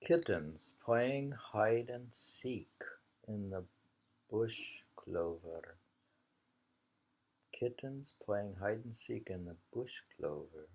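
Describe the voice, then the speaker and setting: A man reading short poems in an unhurried, halting way, repeating lines slowly and musing on them informally in a dull, lo-fi home recording. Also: on the low side, expressive, darkish tone. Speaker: reader of a poetry anthology.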